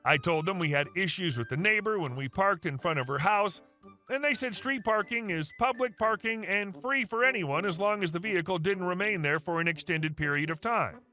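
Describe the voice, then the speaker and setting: Male story narrator, high bright voice, wry and animated, faint music under. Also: normal speed. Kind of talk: scripted narration of online stories.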